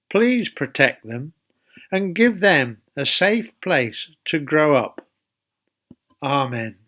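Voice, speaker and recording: A man reading a children's Bible story in a deliberate, emphatic way, speaking directly to young listeners. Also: fairly high pitch, a bit bright. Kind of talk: expressive children's Bible storytelling, then gentle address and prayer.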